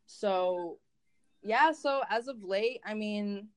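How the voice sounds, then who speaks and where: A woman's voice: mid-range voice, slow. A young woman whose first language is Spanish, chatting in a casual interview and rambling along with lots of fillers and restarts. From United States. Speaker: guest, event organizer.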